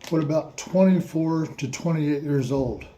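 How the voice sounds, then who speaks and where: Man, very dark mellow tone, reflective questioning, faint hiss behind. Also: a little high. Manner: slow, repetitive questions with mock-surprised asides.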